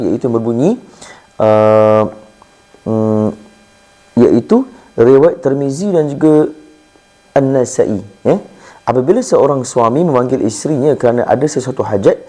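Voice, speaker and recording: A Malaysian man preaches in an informal, conversational way, explaining points like a teacher. His voice is very mellow and dark, at an ordinary male pitch. It is slightly hoarse, as from a cough. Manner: conversational and didactic, asking and answering own questions. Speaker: Islamic preacher. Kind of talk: informal religious lecture.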